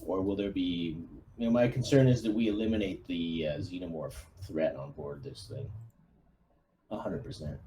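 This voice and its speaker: A man speaking as a squad commander in a tabletop roleplaying game, briefing a plan in a halting, thinking-aloud way. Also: low voice, mellow, a little hiss or hum, rough recording. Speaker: player acting as squad commander.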